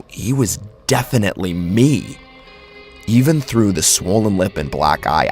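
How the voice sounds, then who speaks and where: Deep, gravelly male horror narrator. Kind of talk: dramatic scripted first-person narration. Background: background music.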